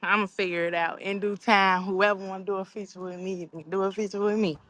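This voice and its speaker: A raspy-voiced woman from Chicago, a rapper being interviewed, speaking softly and hesitantly with many fillers. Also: mid-range voice, little pitch movement, fairly quick, no room sound. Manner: soft-spoken, hesitant, trailing off with fillers. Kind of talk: casual interview chat.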